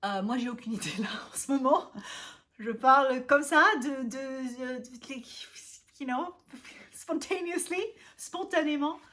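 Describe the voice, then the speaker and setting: A woman's voice: high voice, a bit bright. Hesitant young Austrian-born woman vlogging. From Vienna, Austria. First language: Austrian German. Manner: hesitant, halting, with frequent fillers and restarts; laughs often at herself and slips into English words. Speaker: language vlogger. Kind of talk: casual vlog monologue.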